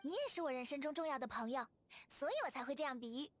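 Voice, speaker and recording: A woman voicing a cheerful, outgoing teenage girl in a video game, friendly and expressive. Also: high voice, very fast, lightly acted. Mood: cheerful, affectionate. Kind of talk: game character voice demo.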